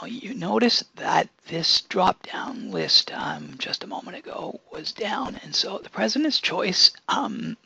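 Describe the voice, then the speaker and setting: A man with a hoarse, whispery voice, casually explaining a software demonstration as an accessibility consultant, with many fillers. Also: on the high side, no echo.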